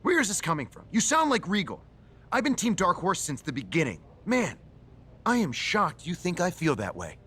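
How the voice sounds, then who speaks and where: A self-assured wrestling manager in a video game story. His voice is bright and forceful, with swooping, animated pitch. He talks in a glib, emphatic, salesman-like way. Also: normal speed, breathy edge, full character voice. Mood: indignant. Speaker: voice actor playing a wrestling show authority figure.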